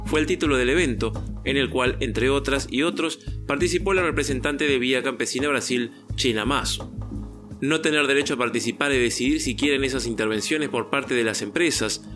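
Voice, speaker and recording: A male newsreader delivers a scripted report in a measured, even way. His voice sits at a middling pitch. A clear guitar music bed plays underneath. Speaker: news presenter. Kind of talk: scripted news reading.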